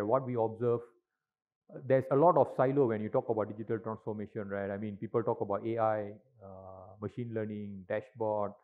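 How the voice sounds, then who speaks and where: A middle-aged man giving a conference talk on stage into a handheld mic, with lots of "uh" and "right?" tags. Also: fairly low pitch. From Malaysia. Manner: filler-heavy, frequent tag questions. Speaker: industry speaker at a conference. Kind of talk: presentation talk. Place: large conference hall stage. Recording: tinny, lo-fi hall recording.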